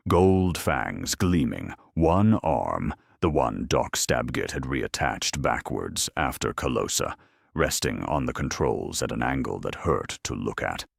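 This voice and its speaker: Extremely deep, gravelly male narrator. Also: no echo, studio quality. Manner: deadpan, measured. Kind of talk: scripted dramatic narration with dry humour.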